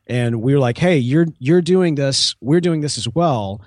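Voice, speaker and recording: A man's voice: mid-range voice, lively intonation, measured pace, a little mellow, dry recording, studio quality. A man speaking informally as an interview guest, a start-up co-founder in casual conversation who often acts out bits of dialogue. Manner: informal, talks in hypothetical quoted dialogue.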